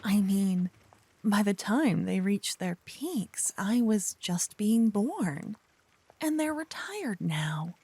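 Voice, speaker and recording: A woman playing an earnest, chatty young paladin girl in an ASMR fantasy roleplay, with soft, expressive, dramatic delivery. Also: medium pitch, takes it slowly, husky, no echo, full character voice. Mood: curious, puzzled.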